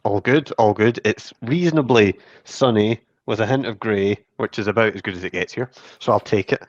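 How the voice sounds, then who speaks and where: A man's voice: on the low side, moderate pace, no echo. A man hosting a podcast with casual, jokey, informal introductions on a slightly dull microphone. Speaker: podcast host.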